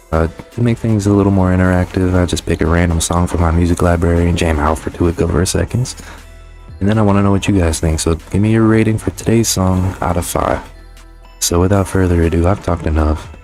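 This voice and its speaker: A man's voice: sits low, darkish tone. A man hosting a casual video game review, talking in a slangy, informal, conversational way. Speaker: host of a game review channel.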